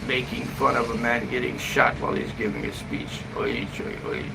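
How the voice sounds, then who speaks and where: Gravelly man rambling casually, noisy background. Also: mid-range voice, moderate pace. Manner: blunt, profane, slangy, often ending phrases with "man". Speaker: travel vlogger giving a live update. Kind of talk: rambling casual live-stream chat. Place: hotel room, indoors. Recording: noisy room with steady background hum.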